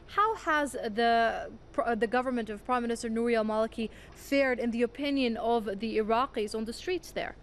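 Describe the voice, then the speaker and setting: A woman's voice: on the high side, normal speed, few pauses, slight background noise. Woman news anchor asking formal questions. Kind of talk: studio news interview.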